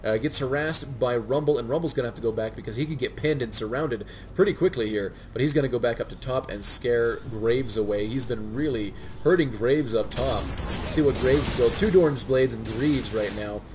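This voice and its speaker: A man's voice: mid-range voice, moderate pace, slight background noise. Stuffy-voiced man commentating a game. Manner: excitable, many fillers and restarts. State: stuffy from a cold. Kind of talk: solo play-by-play commentary.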